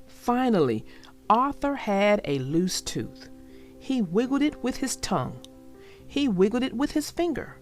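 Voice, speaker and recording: A woman narrating a storybook for young children in an expressive, deliberate way. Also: fairly high pitch, soft background music.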